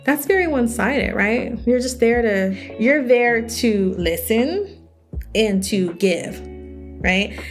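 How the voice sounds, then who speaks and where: A young woman talks casually to her listeners as a podcast host and coach. Her voice sits a little high and is a bit scratchy today. Faint music plays under her. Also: takes it slowly. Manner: chatty, animated, quotes others in higher voices. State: slightly scratchy voice. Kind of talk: casual solo podcast talk.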